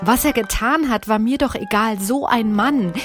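A woman's voice: a little high, moderate pace, lightly acted. An actress playing a blithely indifferent woman in an audio drama teaser. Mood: unbothered. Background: music.

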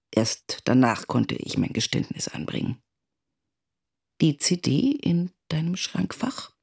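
A man's voice: medium pitch, creaky, husky, full character voice. A man playing an anxious, calculating confidant narrating a confession in a radio drama.

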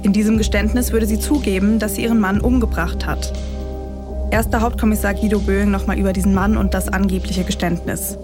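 Female podcast host, mid-pitched voice, scripted true-crime narration, factual, faint music behind. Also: steady tone, brisk.

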